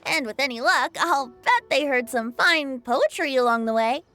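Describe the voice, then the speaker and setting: A woman's voice: sits high, normal speed, over music, close to an everyday voice. A woman voicing a breezy, whimsical, teasing young male bard in a video game, playful and flowery in delivery.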